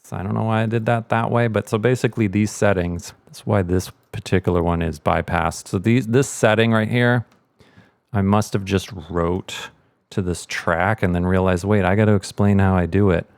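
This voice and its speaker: A man's voice: lowish voice, fairly even intonation, normal speed, creaky, dry recording. A middle-aged man explaining sound mixing in an informal tutorial, thinking aloud as he goes. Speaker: sound mixer giving a tutorial. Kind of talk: casual tutorial walkthrough.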